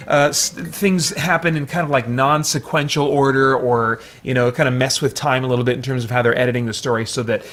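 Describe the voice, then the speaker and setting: A man giving a casual, unscripted movie review, thinking out loud in a rambling, hesitant way with repeated false starts. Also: medium pitch, fairly even intonation, fairly quick, hardly any pauses. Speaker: movie reviewer, video host.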